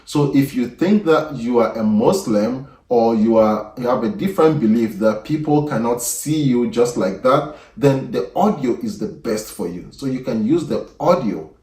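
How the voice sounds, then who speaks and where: A man hosting an exam prep channel, giving unscripted study advice in a measured way with frequent fillers and restarts. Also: mid-range voice, animated, darkish tone. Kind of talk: unscripted advice talk to camera. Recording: echoey room, voice from a distance.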